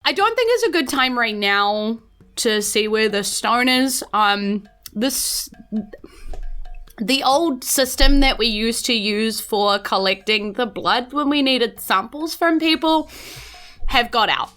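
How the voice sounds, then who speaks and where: A woman's voice: a little high, expressive, takes it slowly, sharp tone, smooth, soft background music, heavily acted. A woman voicing a female hospital nurse in a tabletop game, hesitant and placating as she explains, with a New Zealand accent. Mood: evasive, reassuring.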